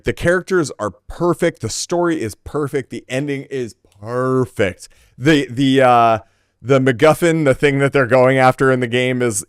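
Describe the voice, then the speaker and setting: A man with a clean, smooth voice talks casually as a podcast co-host. He gives opinions emphatically, restating phrases for stress, in a dry, close-sounding recording. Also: medium pitch, no room sound.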